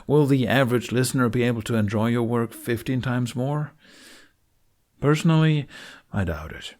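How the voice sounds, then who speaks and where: A man's voice: mid-range voice, normal speed, a little mellow. A man narrating a tutorial voice-over in a frank, conversational manner, recorded at home on a USB microphone. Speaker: tutorial presenter. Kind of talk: informal scripted tutorial voice-over. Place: living room, home recording. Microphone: budget USB microphone on an arm.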